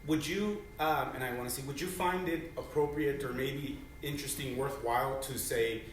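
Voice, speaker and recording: A man in the audience asks the speaker a polite question, pausing and hunting for his words. He stands far from the microphone, and the hall swallows his voice in heavy echo. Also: medium pitch, slight background noise. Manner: polite, halting, searching for words. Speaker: audience member asking a question. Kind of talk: question from the floor. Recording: echoey hall, far from mic.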